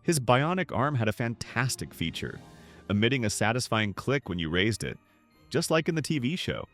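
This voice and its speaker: A man's voice: medium pitch, very animated, moderate pace, a bit bright, soft background music. A man reading scripted nostalgic documentary narration.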